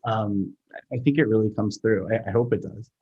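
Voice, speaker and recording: A man with a husky voice chatting casually as a guest, enthusiastic but halting as he talks. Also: on the low side, lo-fi sound. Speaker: sound designer, guest. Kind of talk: casual chat.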